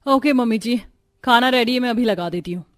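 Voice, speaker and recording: A woman's voice: on the high side, brisk, natural voice. A woman voicing a snobbish young modern daughter-in-law in a cartoon, sassy and peppering her Hindi with English. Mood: breezy, dismissive.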